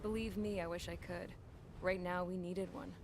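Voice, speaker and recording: An actress playing a gritty, wry mechanic in a game cutscene, blunt and colloquial. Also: female voice, fairly low pitch, level tone, normal speed, noisy background, close to an everyday voice. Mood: wry, determined. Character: gritty, wry female mechanic, plain-spoken and resourceful. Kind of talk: dramatic game cutscene narration. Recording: loud musical score behind.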